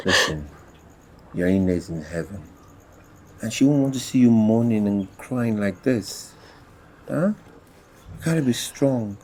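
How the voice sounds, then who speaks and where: A man voicing a kind adult uncle who calms a crying child in a soft, hushed way. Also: lowish voice, takes it slowly, pauses often, slight background noise. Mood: soothing. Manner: soothing, hushed, calming. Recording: faint music underneath. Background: music.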